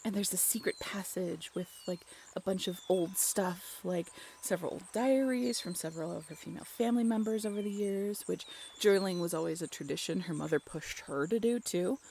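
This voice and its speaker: Audio drama role: chatty, excitable young woman played by a woman, a touch low, recounting a tale to a companion in casual, conversational style. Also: a little fast, creaky, slight background noise. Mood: engrossed, chatty. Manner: informal, giggly, conversational asides with rhetorical questions. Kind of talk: casual, animated storytelling in an audio roleplay.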